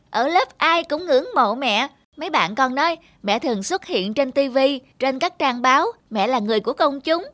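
A proud little cartoon schoolgirl, with a high, childlike voice. She is eager and boastful in everything she says, with clear music underneath. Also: a bit bright. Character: proud, boastful little schoolgirl idolizing her famous mother. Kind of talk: animated moral-tale dubbing. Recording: music behind the voice.

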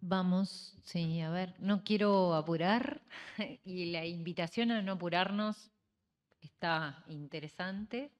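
A woman's voice: lowish voice, slow pace. A woman moderating a round table, informal and inviting.

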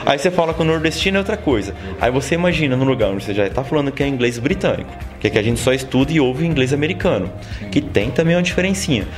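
Fast-talking man, interior São Paulo accent. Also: medium pitch, music in the background. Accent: interior São Paulo Brazilian Portuguese. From Brazil. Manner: storytelling, lively, many "sabe?" tags. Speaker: interview guest. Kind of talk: casual interview talk. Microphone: handheld mic held by interviewer.

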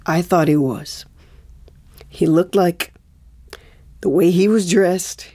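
An actress playing an anxious, defensive pregnant wife in a naturalistic audio drama, with an emotional, urgent delivery. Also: female voice, on the low side, slow, mellow, dry recording. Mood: uneasy, hesitant. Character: anxious, defensive pregnant young wife, pleading with her husband.

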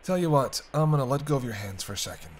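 A man's voice: medium pitch, lively intonation, quick pace, natural voice. A man playing a sardonic but protective vampire in an intimate roleplay audio drama, bantering and speaking straight to the listener. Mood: gentle, guiding. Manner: bantering, wry, murmured asides. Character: teasing, sardonic male vampire, centuries-weary but protective.

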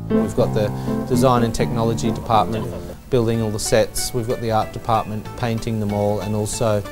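Flat-toned man explaining steadily. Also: fairly low pitch, fairly quick. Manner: matter-of-fact, steady with fillers. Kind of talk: interview explanation. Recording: music playing behind.

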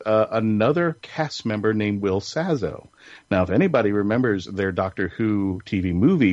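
A man with a fairly low voice hosts a casual panel show. He talks in an enthusiastic, rambling way. The sound is dry and a little dull. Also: dry recording. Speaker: podcast host.

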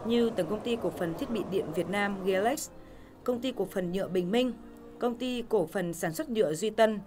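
A woman's voice: mid-range voice, normal speed, over music. Woman reading a formal news voice-over. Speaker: news narrator.

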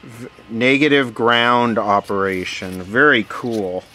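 A man giving casual, hands-on unboxing commentary, musing aloud in short phrases with pauses between them. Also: medium pitch, rough recording. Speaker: vlogger unboxing vintage radio gear. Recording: steady background noise.

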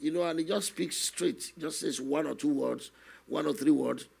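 An older man, a guest minister telling his testimony slowly and earnestly in a studio interview, often adding "you know". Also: on the high side, a little mellow. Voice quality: gravelly, husky. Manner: slow, earnest storytelling with many "you know" asides. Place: television studio, seated on a set. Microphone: stand microphone in front of him.

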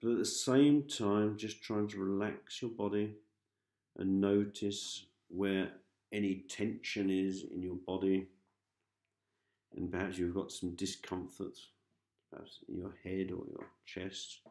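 A man with a low, gravelly voice leads a guided relaxation for sleep. He is calm and gentle, repeating soft instructions with long pauses. The sound is roomy and echoing, with faint music underneath. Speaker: hypnosis host, meditation guide. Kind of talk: guided sleep hypnosis, soothing meditation.

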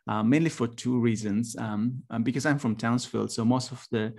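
A man from Nepal in his forties, a university lecturer giving a presentation over a video call, talking haltingly with many ums and restarts. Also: medium pitch, steady tone, creaky, a little mellow. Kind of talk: webinar presentation.

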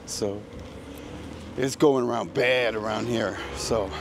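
Slightly raspy American man over street noise. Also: lowish voice, slow pace. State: getting over a sickness. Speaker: vlogger hosting a walking tour. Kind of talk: casual rambling vlog chat. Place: outdoors on a city street. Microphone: handheld microphone. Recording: noisy outdoor street ambience.

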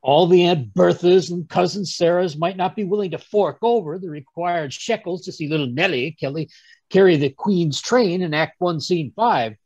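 A man playing a genial, fussy older English gentleman, chattily recounting his adventures in old-time radio drama style, with a husky voice. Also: sits high, moderate pace, no echo. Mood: amused. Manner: chatty, chuckling, rambling storyteller with stammered asides. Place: home room on a group video call. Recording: dull, dry video-call audio.

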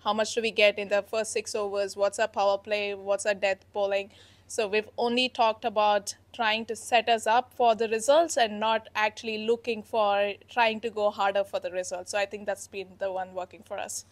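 Earnest young woman, flat steady delivery. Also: medium pitch, normal speed. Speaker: team captain. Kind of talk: post-match press conference answers. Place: indoor press room, sponsor backdrop. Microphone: handheld microphone.

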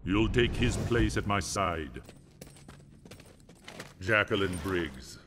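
A deep, gloating sorcerer. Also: male voice, lively intonation, takes it slowly, a bit bright, a little hiss or hum, heavily acted. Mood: sinister. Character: pale sinister sorcerer, gloating. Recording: game audio with long reverb.

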